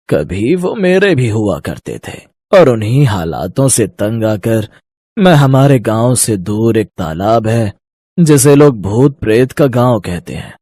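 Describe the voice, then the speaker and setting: A man voicing a kindly old village uncle in a moral story, earnest and secretive, speaking slowly and deliberately. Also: on the low side, mellow, breathy edge, no room sound. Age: older. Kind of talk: Hindi moral story voice acting.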